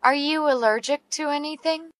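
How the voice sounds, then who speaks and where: A woman reading short English practice dialogues aloud, slowly and carefully enunciated. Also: sits high, fairly bright tone, no room sound. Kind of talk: slow read-aloud English practice phrases.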